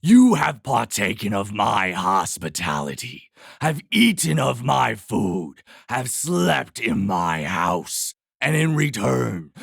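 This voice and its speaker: A man voicing a hideous, wrathful beast in a storybook, with slow, drawn-out, menacing threats. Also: fairly low pitch, vocal fry, slightly rough, no echo, studio quality, full character voice. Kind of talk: dramatic storybook character voice.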